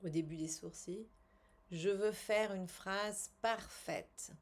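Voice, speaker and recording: A woman coaching a guided tapping exercise in short, measured, instructional phrases with pauses and repeated statements. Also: mid-range voice. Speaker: tapping coach and language teacher.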